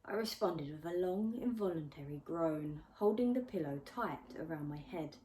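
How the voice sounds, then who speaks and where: A woman author reading her own book aloud as expressive audiobook narration. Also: sits low, normal speed, a little hiss or hum, poor audio.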